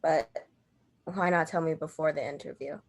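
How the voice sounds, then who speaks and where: A woman plays an inquisitive reporter in an amateur audio drama. Her voice is a little low for a woman, and her delivery is light and good-humoured. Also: normal speed. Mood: surprised, questioning. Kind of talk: fan audio drama table read.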